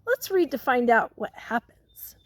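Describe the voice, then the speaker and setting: A woman's voice: fairly high pitch, big pitch swings, slight background noise. A woman teacher reading a picture book aloud to young children, stopping to ask them questions and prompt them. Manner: pauses to question and prompt listeners.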